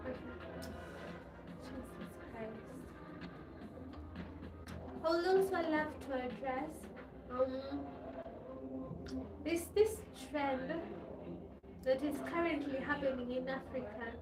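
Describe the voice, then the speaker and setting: High-voiced Kenyan woman, echoey and noisy. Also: a little slow, pauses often, over music. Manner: rambling, repeats phrases and asks rhetorical questions. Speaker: livestream host talking to her subscribers. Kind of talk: casual livestream chat. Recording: echoey, distant mic, loud background noise.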